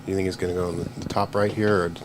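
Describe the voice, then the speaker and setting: A man's voice: sits low, flat delivery, racing, noisy background. A young man commentating on a pool tournament from the booth, easygoing and asking questions with frequent "uh" fillers. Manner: easygoing, asks questions and fills with "uh". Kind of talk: live sports commentary.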